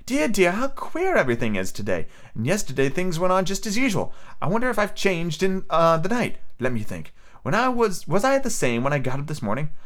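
Guy doing a fussy little girl voice, higher and bouncy, fretting to herself. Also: very animated, brisk, a bit bright. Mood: puzzled. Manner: prim and fretful, talking to herself.

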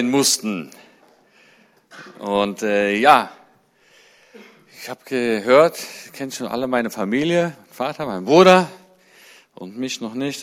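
A middle-aged man speaking as a guest preacher to a church. He has a middle-pitched voice. His tone is chatty between points, then rises fervently when he makes his main points. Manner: fervent, climbs high and loud on key points. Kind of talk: sermon-style talk to a congregation.

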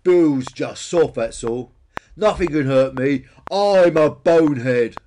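A thick-skulled dinosaur bully from a storybook, acted out by a man, pitched up and loud. He is blunt, boastful and cocky, with faint clicks and noise on the recording. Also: slow pace. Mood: dismissive. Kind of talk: children's storytelling character voice.

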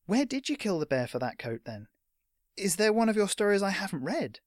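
An eager young lad from a fantasy audiobook, played by a grown male narrator, with a raised pitch. He speaks earnestly and politely, a little hesitant and naive. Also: big pitch swings, brisk, no room sound, clean studio sound. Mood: curious.

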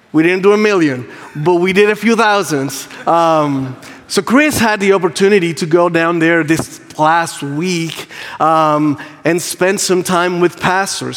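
A man with a Puerto Rican Spanish accent, a campus pastor giving an enthusiastic church update with frequent ums. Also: sits high. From Puerto Rico. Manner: warm, enthusiastic, with frequent ums and playful asides. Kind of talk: church announcement. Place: church sanctuary. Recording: long hall reverb.

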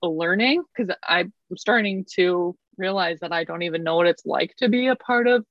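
A woman's voice: on the low side, normal speed, dry recording, highs cut off. A woman in her thirties from Canada, chatting as an interview guest with a self-deprecating, laughing manner. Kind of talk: casual interview chat.

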